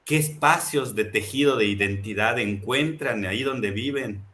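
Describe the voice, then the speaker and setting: A man from Mexico speaks earnestly and with passion, stacking phrase upon phrase as he presents a book. His voice is bright and forward. He seems to be talking over a video call. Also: medium pitch, moderate pace. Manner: earnest, impassioned, rhetorical repetition. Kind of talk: reflective book presentation talk.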